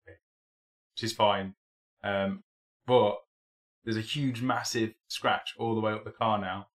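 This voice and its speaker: A man's voice: fairly low pitch, fairly bright tone. A young man hosting a live hobby stream in casual, rambling chat, still a bit tired from a cold. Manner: rambling, chatty, many ums and restarts.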